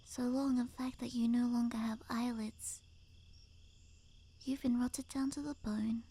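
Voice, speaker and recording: A woman playing a sweet, obsessive necromancer girlfriend in an intimate ASMR roleplay, cooing softly and often half-whispering. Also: fairly high pitch, little pitch movement, unhurried, slightly rough. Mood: hushed, anxious. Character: doting, obsessive yandere girlfriend turned necromancer, sweet and unhinged.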